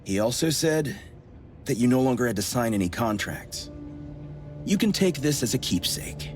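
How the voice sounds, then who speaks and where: An actor playing a brusque, guarded, grumpy man with a soft spot in an anime-style game, speaking curtly. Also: mid-range voice, animated, moderate pace, faint music behind, close to an everyday voice. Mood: gruffly caring. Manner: curt, blunt.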